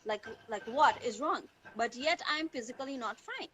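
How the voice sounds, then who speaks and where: A woman's voice: a little high, animated, moderate pace, fairly bright tone. A woman from Tanzania answering as an interview guest in a conversational way, searching for words and repeating phrases for emphasis. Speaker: interview guest, teacher and mental health advocate. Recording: online call with faint background noise.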